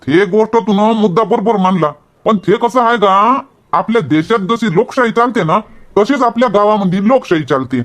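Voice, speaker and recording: A man acts out a folksy village man from a homemade comedy cartoon. His voice is pitched high for a man. He talks in chatty, colloquial banter with a Varhadi Marathi accent. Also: moderate pace, natural voice.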